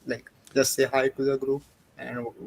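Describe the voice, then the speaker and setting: A man co-hosting a livestream, talking casually in a hesitant, halting way. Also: medium pitch, completely flat delivery, gravelly, dry recording, poor audio. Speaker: livestream co-host. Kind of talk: casual chat.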